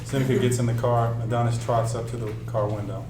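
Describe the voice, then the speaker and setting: A man's voice: mid-range voice, flat delivery, quick pace, dark tone. A man reading out stage directions as the narrator at a film script table read. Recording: distant, echoey room with noisy hum.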